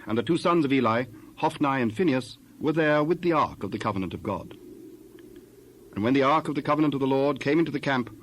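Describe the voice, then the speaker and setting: A man narrating scripture from a script in a measured, formal way. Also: mid-range voice, expressive, a little hiss or hum.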